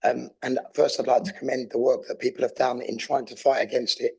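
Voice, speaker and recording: Hoarse man giving a council speech. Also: medium pitch, flat delivery, a little fast, poor audio. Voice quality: raspy, gravelly. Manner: earnest, persuasive, with some restarts. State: hoarse from a cold. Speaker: councillor moving a motion. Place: council chamber.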